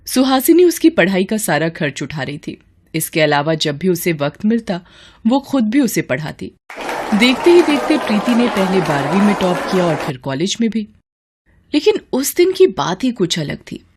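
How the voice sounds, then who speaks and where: A woman narrating a scripted story as a storyteller, in an expressive, dramatic manner. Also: mid-range voice, normal speed, background noise.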